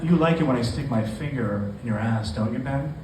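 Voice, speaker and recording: A man's voice: mid-range voice, brisk, natural voice. A man reading the lines of an eager, coaxing young woman lover from a story. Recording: echoey, noisy venue, distant microphone.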